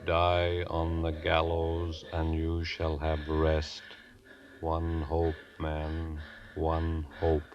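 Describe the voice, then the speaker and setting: An actor voicing a doomed, mournful lost soul, chanting solemnly in 1940s radio horror style on a muffled, noisy old recording. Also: male voice, a bass voice, monotone, exaggerated voice. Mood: doom-laden, solemn. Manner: slow, droning chant.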